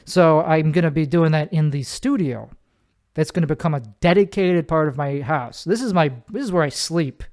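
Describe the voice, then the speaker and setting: A man's voice: a little high, moderate pace, darkish tone. A man hosting his own solo podcast from his bedroom, with a nasal voice, rambling casually and correcting himself often. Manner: rambling, self-correcting, many fillers. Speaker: podcast host.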